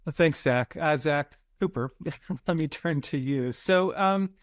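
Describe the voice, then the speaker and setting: A man moderating an online panel, giving brisk housekeeping notes and putting friendly questions to the guests. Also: on the high side, no echo. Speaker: webinar moderator. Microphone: video call.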